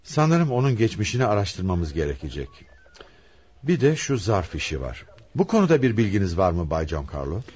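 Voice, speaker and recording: An actor playing a shrewd, probing police inspector in a Turkish radio play, inquisitive and deliberate. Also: male voice, deep voice, very animated, a little mellow, breathy edge, heavily acted. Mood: analytical. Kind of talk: Turkish radio theatre acting.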